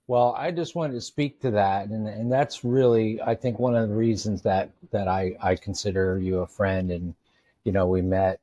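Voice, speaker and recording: A man's voice: fairly low pitch, fairly even intonation, moderate pace, mellow, dry recording, highs cut off. A man hosting an interview, speaking admiringly and often repeating words as he starts a thought. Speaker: podcast host. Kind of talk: casual interview chat.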